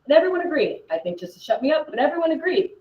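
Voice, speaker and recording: A young man playing an uptight, argumentative, studious teenage boy in a live scene, precise, defensive and excitable. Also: very high voice, very fast, muffled recording, voice pushed up. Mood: aggrieved. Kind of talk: live duo scene performance. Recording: echoey room.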